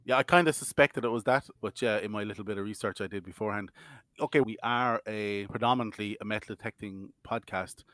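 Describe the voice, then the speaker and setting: A man hosting a podcast, asking questions in a friendly, energetic way. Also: fairly low pitch, fast, dry recording, studio quality. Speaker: podcast host. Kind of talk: casual podcast interview.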